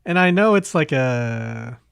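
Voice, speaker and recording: A man's voice: medium pitch, animated, slow pace, gravelly, fairly bright tone, smooth, no room sound. Man, podcast host, casual chat, hesitant, meandering, trailing thoughts, dry close sound.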